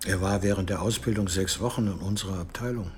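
An actor playing a sober police investigator in a radio drama, measured and matter-of-fact. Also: male voice, low voice, background noise, lightly acted. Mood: grave, pondering. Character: sober male police investigator sharing suspicions. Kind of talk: naturalistic radio drama dialogue. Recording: music bed behind the voice.

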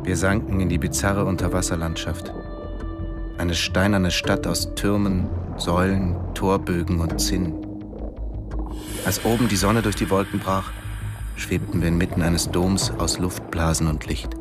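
A reserved diving instructor in a radio drama. His voice is low and sober, terse with others, and loud music sits behind it. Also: little pitch movement, a little slow. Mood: absorbed. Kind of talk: radio drama narration and dialogue.

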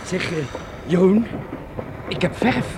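An actor playing a hesitant, stammering young serf in a radio play. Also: male voice, sits high, slow pace, background noise.

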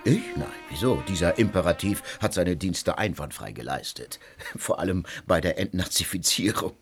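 An actor playing a sardonic elderly jurist, dry and clipped in a radio play. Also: male voice, deep voice, expressive, normal speed, faint music behind. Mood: cynical. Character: sardonic elderly male jurist, cold and evasive.

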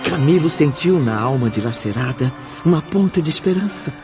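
A Brazilian man narrating a spiritual radio drama solemnly, with measured dramatic pauses. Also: on the high side, expressive. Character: solemn male storyteller of a spiritual tale. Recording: music bed behind the voice.

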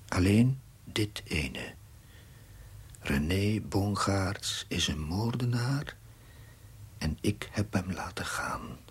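An actor playing a troubled, self-doubting curate who narrates his diary gravely and introspectively in a husky, breathy voice. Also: deep voice, a bit bright, exaggerated voice. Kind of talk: brooding dramatic diary narration.

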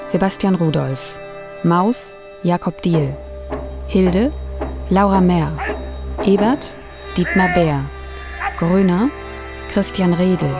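A woman reading scripted credits as an announcer in a measured, formal manner. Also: fairly low pitch. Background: music.

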